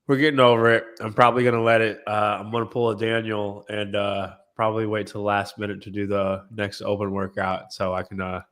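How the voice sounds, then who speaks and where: A man's voice: lowish voice, little pitch movement, fairly quick, hardly any pauses, a bit bright. A man hosting a casual podcast chat, slightly nasal and congested from a cold, teasing and coaching his friends. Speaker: podcast host.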